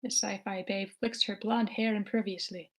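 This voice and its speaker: Mid-pitched woman narrating a screenplay. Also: fairly even intonation, moderate pace. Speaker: narrator of table read. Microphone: remote online meeting.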